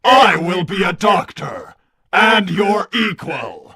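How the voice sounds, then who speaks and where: A man's voice: high-pitched, sing-song, slow pace, creaky, sharp tone, slightly rough, full character voice. A man voicing an earnest, forlorn robot son with stiff formal phrasing and a tendency to stammer, in a melodramatic cartoon roleplay. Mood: solemn, declaring. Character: earnest, forlorn robot son seeking his father's respect.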